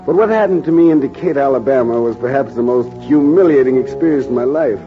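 A man playing a veteran newspaper reporter, narrating his story in a measured, grave way in a 1940s radio drama. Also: medium pitch, music in the background, natural voice. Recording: muffled 1940s radio broadcast.

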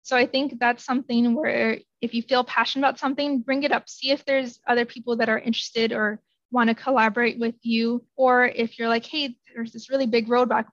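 Woman guest, earnest, flat delivery, somewhat high, dull remote audio. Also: brisk, no echo. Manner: earnest, hedges with "I think". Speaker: interview guest, genetic counselor. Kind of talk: casual interview answers.

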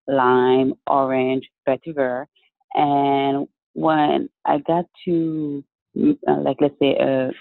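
A man's voice: medium pitch, creaky, no echo. Man on a tinny line. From Haiti. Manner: measured, explanatory, with frequent restarts. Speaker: guest entrepreneur. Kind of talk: interview answers. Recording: dry, tinny phone-like line.

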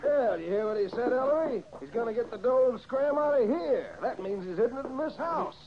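A man's voice: very high voice, expressive, brisk, crowd noise. An actor playing an older police inspector, a blustery and fond father, in an old-time radio drama. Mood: commanding. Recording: dull, hissy old radio recording.

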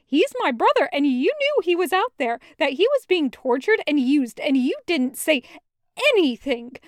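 A woman voicing a furious, hurt woman confronting a friend, with forceful, sweary, accusing outbursts in an audiobook. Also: high voice, big pitch swings, moderate pace, no echo, clean studio sound, pitched above the performer's own voice. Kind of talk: audiobook character acting.

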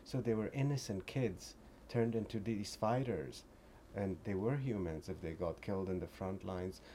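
Deep, mellow-voiced man from Afghanistan. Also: a little hiss or hum. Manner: earnest, halting with many restarts. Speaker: shop owner, guest. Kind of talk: interview conversation.

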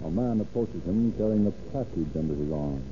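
A man's voice: low-pitched, expressive, fairly quick, background noise. A man playing the grave narrator of an old radio crime drama, reading dramatic scripted narration. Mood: grave, scene-setting. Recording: dull old radio recording, music behind.

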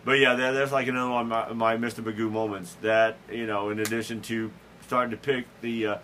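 Guy hosting a podcast, rambling self-deprecating story, bright forward voice, keeps chuckling and restarting. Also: mid-range voice, little pitch movement, a little fast, slight background noise. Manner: frequent restarts and fillers. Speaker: podcast host. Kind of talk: casual storytelling chat.

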